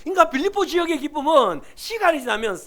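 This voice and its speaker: A male pastor with a Gyeongsang accent preaching a Sunday sermon, emphatic and swinging from loud to hushed. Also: very high-pitched, big pitch swings, quick pace.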